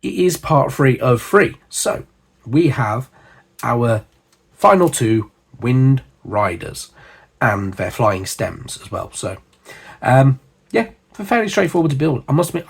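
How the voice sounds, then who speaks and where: A man hosting a hobby channel, hoarse and husky from a cold, chatting casually with lots of fillers and asides. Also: mid-range voice. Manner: chatty, rambling, with frequent fillers. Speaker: miniature painting channel host. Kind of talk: casual hobby chat to camera.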